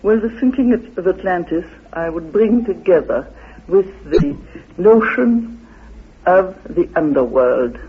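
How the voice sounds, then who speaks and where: A man being interviewed, explaining his ideas in a halting, deliberate manner, asking and answering his own questions and reciting quotations. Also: sits high, expressive, husky. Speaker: interviewee expounding his theory. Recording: phone-like, muffled old radio recording.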